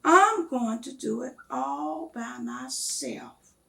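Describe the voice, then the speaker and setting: A woman's voice: high voice, lively intonation, takes it slowly, creaky, pushed and bright, far from the mic, exaggerated voice. A woman voicing an eager, determined nine-year-old boy in a storybook, polite and earnest.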